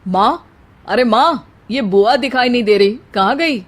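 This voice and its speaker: A woman's voice: fairly high pitch, animated, measured pace, voice pushed up. A woman voicing a cheeky, blunt, outspoken young nephew in a cartoon story. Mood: puzzled.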